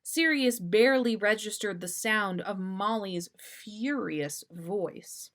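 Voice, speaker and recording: Slow-paced woman reading a story aloud. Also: medium pitch, fairly bright tone. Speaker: podcast host reading listener stories.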